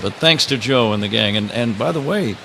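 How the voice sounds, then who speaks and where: Animated older man calling baseball. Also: mid-range voice, moderate pace. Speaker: play-by-play baseball broadcaster. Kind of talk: live radio sports commentary with casual banter. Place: stadium broadcast booth. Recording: clear ambient ballpark noise behind.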